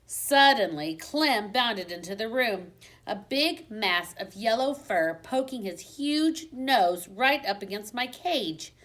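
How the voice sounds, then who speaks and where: A woman reading a children's book aloud like a teacher, with expressive storybook narration and dramatic emphasis. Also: on the high side, takes it slowly. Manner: dramatic emphasis on exciting moments.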